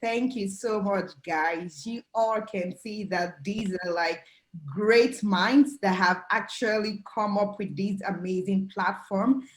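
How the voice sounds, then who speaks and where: A young woman hosting a panel interview in a friendly, chatty way, sprinkling in "like.". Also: medium pitch. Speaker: interview host. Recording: roomy echo.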